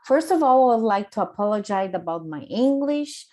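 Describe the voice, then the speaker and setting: Brazilian woman, portuguese accent, slightly high voice, careful workshop talk on a video call. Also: lively intonation, a little mellow. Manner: careful, deliberate, with small self-corrections. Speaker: coach presenting a workshop. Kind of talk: prepared online presentation.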